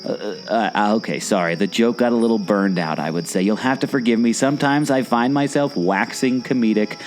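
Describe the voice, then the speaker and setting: A man's voice: lowish voice, fairly quick, a little hiss or hum, close to an everyday voice, poor audio. A man playing a naive, curious sidekick with a taste for corny jokes in a scripted audio drama. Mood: jokey. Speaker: curious companion.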